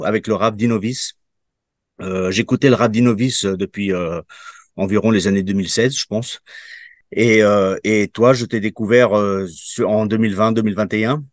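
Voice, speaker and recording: A man in his fifties, from Portugal, giving a testimonial in an interview and answering haltingly with many fillers and restarts. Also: lowish voice, dry recording, clean studio sound. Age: 50s. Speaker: program participant giving a testimonial.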